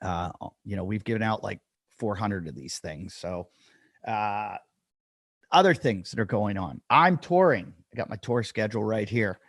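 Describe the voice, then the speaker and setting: A man's voice: fairly low pitch, lively intonation, normal speed, no room sound. Dry-voiced man rambling a podcast intro. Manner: rambling, self-deprecating, with frequent fillers. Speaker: podcast host. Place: dry room at home.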